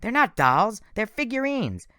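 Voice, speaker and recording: A man's voice: high voice, big pitch swings, unhurried, bright, forceful tone, clean tone, dry recording, clean studio sound, pitched above the performer's own voice, heavily acted. A man doing a frightened young boy. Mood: anxious.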